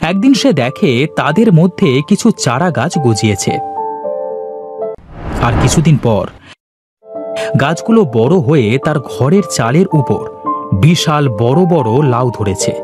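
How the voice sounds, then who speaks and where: A man's voice: on the high side, big pitch swings, a little slow, long pauses, over music. A man reading scripted narration for a children's fairy tale.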